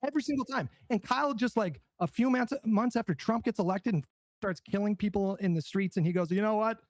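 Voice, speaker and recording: A man's voice: high voice, dry recording. A man in a casual political debate who rants hotly and swears freely. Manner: heated, profane, fast-talking rants.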